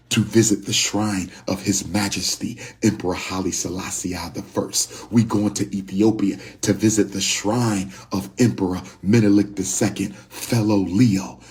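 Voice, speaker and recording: A man's voice: low-pitched, a little mellow. A man in his own voice delivering a fiery motivational talk, repeating phrases over and over for emphasis. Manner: repetitive, rousing, hammering phrases for emphasis. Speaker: speaker promoting group tours.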